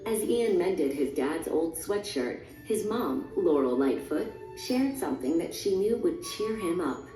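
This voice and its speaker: A woman narrates a children's storybook in a soft, dark-toned and slightly low voice. The sound is roomy and a little distant, with music behind her. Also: animated, measured pace.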